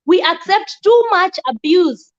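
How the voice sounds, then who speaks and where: Blunt high-voiced woman, dull recording. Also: expressive, unhurried. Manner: blunt, outspoken, emphatic. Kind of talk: casual discussion.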